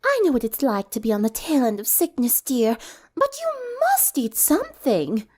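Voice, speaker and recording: A woman's voice: high voice, wildly swooping pitch, moderate pace, a little mellow, voice pushed up, full character voice. A woman voicing a genteel, fussy, sympathetic teenage girl with refined phrasing in a story reading. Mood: fussing.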